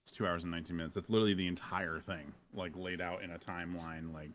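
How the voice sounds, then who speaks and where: Casting: male streamer host, deep register, loose informal conversational delivery, slight noise behind. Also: fairly even intonation, fast, a bit bright. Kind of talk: casual chat.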